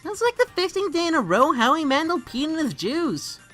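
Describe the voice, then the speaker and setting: A woman's voice: sits high, sing-song, a little fast, fairly bright tone, soft background music. A woman voicing an earnest, nerdy young boy who teases his best friend in an amateur comic dub. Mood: casual.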